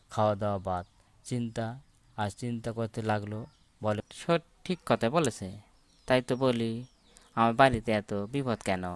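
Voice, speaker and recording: A man with a fairly low voice narrates a children's cartoon tale. The sound is close and dry, with hardly any room in it. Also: smooth, no echo. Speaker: story narrator.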